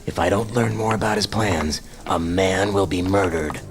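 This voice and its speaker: Man playing a pompous low-voiced masked crime fighter. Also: moderate pace, natural voice. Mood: earnest, scheming. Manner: pompous, theatrical. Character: self-important bumbling male crime fighter posing as a mysterious specter. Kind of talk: comic superhero radio drama acting.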